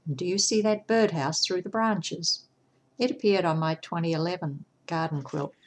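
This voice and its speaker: A middle-aged woman from New Zealand with a New Zealand accent, gently narrating a scripted tour of her art studio. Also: on the low side, moderate pace. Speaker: artist giving a studio tour.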